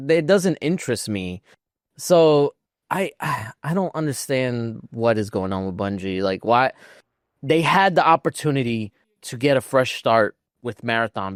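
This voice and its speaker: A man of average pitch gives casual commentary as a podcast co-host. His phrasing is loose and informal, with plenty of "like." The recording is dry and free of room sound. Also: smooth, no echo. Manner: informal, peppered with "like".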